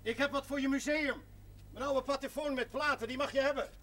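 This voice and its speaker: An actor playing a jovial, shrewd parish priest in a television drama, theatrical and teasing with mock solemnity. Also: male voice, very high voice, fairly even intonation, a little fast, fairly bright tone, a little hiss or hum. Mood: shrewd, coaxing.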